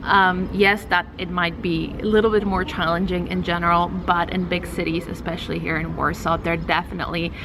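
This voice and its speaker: A woman's voice: lowish voice, level tone, brisk, hardly any pauses, background noise. A woman, talking casually and explaining things as a vlogger. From Poland, grew up partly in Copenhagen. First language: Polish. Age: 20s. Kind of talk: casual vlog talk to camera.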